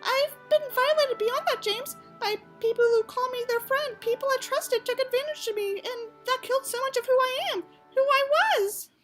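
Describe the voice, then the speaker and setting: Woman putting on a very shrill, overwrought teenage girl. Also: moderate pace, hardly any pauses, a bit bright, faint music behind. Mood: tearful, struggling. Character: wounded, defiant, melodramatic teenage girl in an audiobook. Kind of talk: exaggerated dramatic audiobook character reading.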